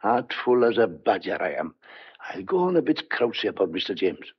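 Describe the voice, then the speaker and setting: An actor playing a shrewd, stubborn old farmhand, speaking folksy dialect in a radio thriller. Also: male voice, fairly low pitch, big pitch swings, moderate pace, husky, exaggerated voice. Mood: sly, reassuring. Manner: folksy dialect, colourful country sayings. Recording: dull, lo-fi radio drama sound.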